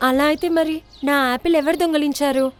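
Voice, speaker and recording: A woman's voice: sits high, steady tone, fast talker, lightly acted. A woman voicing a caring, sensible mother bird in a children's cartoon story. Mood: puzzled, upset. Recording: background music under the voice.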